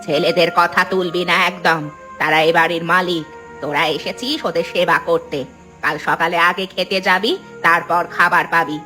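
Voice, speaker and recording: Woman doing a harsh cartoon mother-in-law, lowish pitch, bossy and scolding, music behind. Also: steady tone, moderate pace, bright, forceful tone. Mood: stern scolding. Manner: scolding, commanding. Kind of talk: cartoon voice acting.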